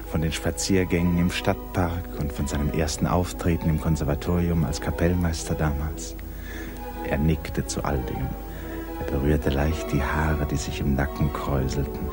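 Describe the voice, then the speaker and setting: An actor playing the narrator of a 1950s German literary radio drama, telling it in a measured, intimate way. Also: male voice, very deep voice, level tone. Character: male storyteller, measured, intimate observer of the lovers. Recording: 1950s radio production, loud music underneath. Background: music.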